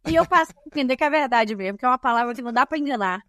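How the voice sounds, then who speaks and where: Bahian woman guest, high pitch, dry close sound, emphatic explainer. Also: lively intonation, very fast, a bit bright, dry recording. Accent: Bahian Brazilian Portuguese. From Bahia, Brazil. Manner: emphatic and didactic, lists points. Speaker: guest, diversity and compliance specialist. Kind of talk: podcast interview, explanatory.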